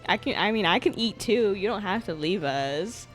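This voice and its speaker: A young woman gaming streamer giving casual commentary from home, giggling, swearing a lot and breaking into loud startled outbursts. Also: medium pitch, lively intonation, moderate pace. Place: home room. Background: game music.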